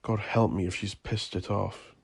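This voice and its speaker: A male narrator plays a troubled man alone with his thoughts in an audio drama. The voice is low and creaky, muted and anxious. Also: flat delivery, normal speed, no room sound, heavily acted. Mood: anxious, brooding.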